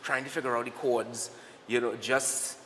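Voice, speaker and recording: A man with a Trinidadian accent, a guest on a morning TV show, telling animated stories and acting out what people said to him. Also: medium pitch, normal speed, long reverb tail, a little hiss or hum, poor audio. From Trinidad and Tobago. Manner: animated storyteller, acts out quotes. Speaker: guest, cyclist and singer. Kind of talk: casual interview chat.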